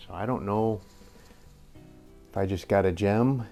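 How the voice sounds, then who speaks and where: An older man with a slightly hoarse voice, rambling through a casual airgun review in a workshop and chuckling often. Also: fairly low pitch, measured pace, warm tone, faint music behind. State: a little hoarse. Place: indoor workshop with tool wall. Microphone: camera at a few feet.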